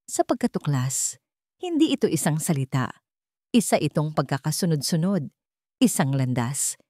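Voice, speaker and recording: A woman's voice: sits low, big pitch swings, moderate pace, warm tone, dry recording, studio quality. A woman narrating a scripted story in a dramatic storytelling style.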